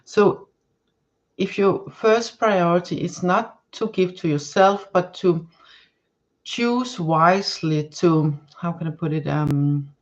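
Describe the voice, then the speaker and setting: A woman's voice: on the low side, slow pace, dull audio. Woman reading tarot cards. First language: Danish. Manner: searching for words, many restarts and fillers. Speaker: tarot card reader. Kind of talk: live-stream tarot reading.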